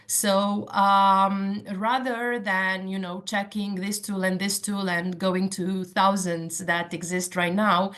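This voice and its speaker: A woman with a Romanian accent speaks as an online panelist. Her pace is very slow and measured, with hesitant phrasing and a mid-pitched voice. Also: level tone, a bit bright. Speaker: panelist, cluster representative.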